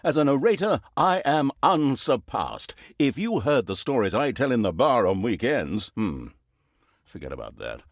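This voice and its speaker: A man's voice: medium pitch, big pitch swings, normal speed, dry recording, clean studio sound. A man playing a sardonic, vain storyteller who narrates a comic fantasy game saga in a wry, mock-grand theatrical manner. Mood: smug, wry. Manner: wry, mock-grand, dramatic emphasis and asides.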